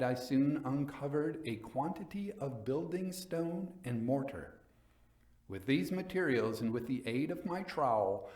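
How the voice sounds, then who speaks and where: A man giving a dramatic reading as a coldly vengeful nobleman recounting his murder in a gothic tale, theatrical, deliberate and emphatic. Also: medium pitch, a little mellow, roomy sound, lightly acted.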